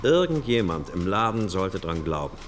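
A brooding, intuitive cop with a very low voice that moves animatedly. He reasons aloud in questions, radio-drama style, over clear traffic, siren and phone noise. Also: big pitch swings, normal speed. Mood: brooding, insistent. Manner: questioning, insistent, thinking aloud. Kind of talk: naturalistic German radio crime drama acting.

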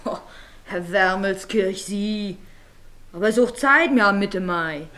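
An actress playing a brusque young rural maidservant in a German radio play, with a Silesian accent and an earthy, snappish way of talking. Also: female voice, medium pitch, unhurried, a bit bright, close to an everyday voice. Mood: dry.

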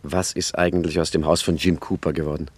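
An actor playing a brusque, sarcastic, scheming gangster on the run in a satirical radio play. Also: male voice, a bass voice, flat delivery, fast. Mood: brooding, brisk. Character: gruff, impatient, scheming male gangster on the run.

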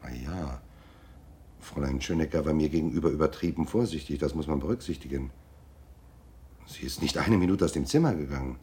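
An actor playing a shabby, pedantic private detective in a radio drama, dry and officious, evasive and grumbling. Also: male voice, very deep voice, fairly quick, pauses often, mellow. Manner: dry, officious, stilted phrasing. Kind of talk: German radio drama acting.

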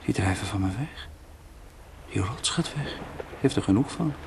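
A man's voice: lowish voice, lively intonation, normal speed, vocal fry, background noise. An actor playing a drowning man in a radio play, wry and musing as he rambles about death. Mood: dazed, bitter. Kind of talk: Dutch radio play acting.

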